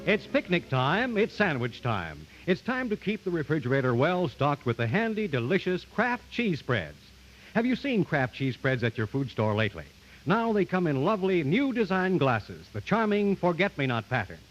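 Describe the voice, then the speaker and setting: A man's voice: fairly high pitch, big pitch swings, moderate pace, faint music behind. A man announcing a 1940s radio commercial with a polished sales delivery, on an old broadcast recording. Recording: dull, faintly noisy 1940s radio.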